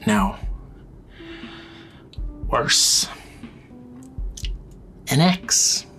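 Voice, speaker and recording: A woman playing a laconic, reluctant but gruffly caring character in a fiction podcast. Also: very slow, fairly bright tone. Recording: music underneath.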